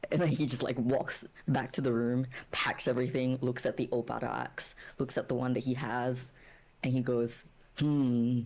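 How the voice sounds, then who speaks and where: A woman's voice: very deep voice, flat delivery, moderate pace, darkish tone. A woman player casually telling what happens in a tabletop role-playing game.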